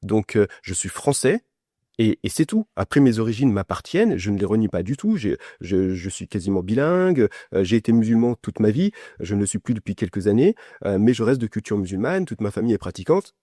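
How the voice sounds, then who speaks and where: A man's voice: fairly low pitch, big pitch swings, brisk, warm tone, no echo, studio quality. A man from France answering as a podcast guest in a conversational, personal way, often restarting and repeating words. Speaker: podcast guest, essayist and journalist.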